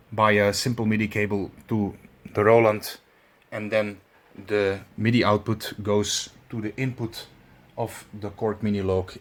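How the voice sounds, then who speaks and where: A man with a Belgian accent walks through a hardware setup step by step. He speaks slowly and pauses often, filling the gaps with "uh" and "um" while he hunts for the right word. His voice sits on the low side. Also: fairly bright tone. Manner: halting, many fillers, searching for words. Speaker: tutorial presenter. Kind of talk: instructional demonstration.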